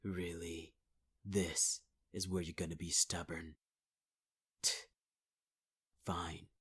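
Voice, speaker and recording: Husky low menacing man, half-whispering. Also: flat delivery, takes it slowly, fairly bright tone. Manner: menacing, taunting, cruel. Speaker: voice actor in audio roleplay. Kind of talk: ASMR audio roleplay.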